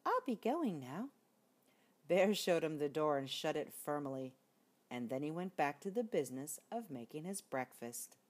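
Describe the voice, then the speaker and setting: An older woman reading a children's storybook aloud expressively, as a grandmother would, with deliberate emphasis. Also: low-pitched, expressive, darkish tone.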